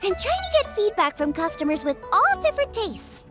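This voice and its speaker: A woman's voice: extremely high pitch, moderate pace, over music, exaggerated voice. A woman voicing a cheerful, earnest teenage girl chef in a video game, with a girlish, eager delivery. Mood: shy, friendly. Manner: eager, expressive.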